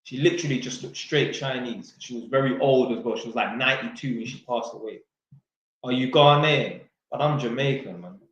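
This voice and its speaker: Guy answering viewer questions, blunt, swears a lot, mid pitch, loads of room echo. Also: fairly quick, a bit bright, dull audio. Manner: blunt, swears freely, slangy and joking. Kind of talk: casual Q&A, reading out questions. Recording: very echoey room.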